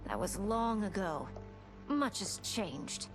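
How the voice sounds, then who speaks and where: An actress voicing a proud, defiant young princess in a video game cutscene, formal, haughty and intense. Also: female voice, mid-range voice, very animated, slow. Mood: cold. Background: loud music.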